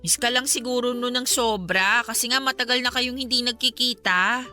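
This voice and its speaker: Very bright, high-voiced caring young woman. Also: steady tone, normal speed, faint music behind. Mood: curious. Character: young woman, caring confidante of a troubled male friend. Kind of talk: Filipino radio drama acting.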